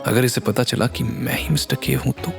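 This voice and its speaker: A man voicing a secretive young man who murmurs his thoughts quietly in an audio drama. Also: mid-range voice, steady tone, moderate pace, gravelly, over music. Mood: musing.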